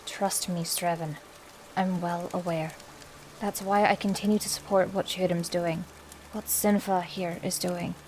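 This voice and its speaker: A woman playing a solemn, wary druid who speaks in a grave, measured, formal way in a fantasy audio drama. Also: fairly low pitch, little pitch movement, darkish tone, slight background noise, lightly acted, low-quality recording. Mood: troubled.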